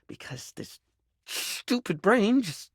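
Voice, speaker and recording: A man voicing a flamboyant but insecure young male makeup character in a dating video game, theatrical, stammering and self-deprecating, with a breathy, creaky voice. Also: sits high, wildly swooping pitch, slow pace, dry recording, clean studio sound, full character voice. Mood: weary, dejected.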